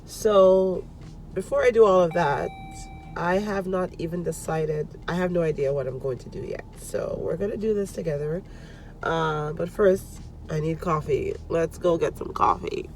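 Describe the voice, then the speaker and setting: A congested woman chatting casually in a vlog, talking her plans through out loud. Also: fairly low pitch, normal speed, a little hiss or hum, poor audio. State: congested from allergies. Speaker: vlogger. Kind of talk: casual vlog chat to camera.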